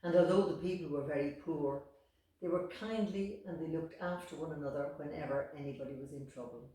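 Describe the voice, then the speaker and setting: A woman with an Irish accent telling a folk tale in deliberate, dramatic short phrases. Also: sits low, dark tone. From Ireland. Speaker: storyteller. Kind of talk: oral storytelling of a folk tale. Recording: very echoey room, distant microphone.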